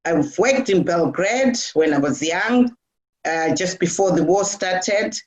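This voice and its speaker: Zimbabwean-born woman, halting interview talk. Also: on the low side, lively intonation. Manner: hesitant, many fillers and restarts. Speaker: guest. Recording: dull lo-fi audio.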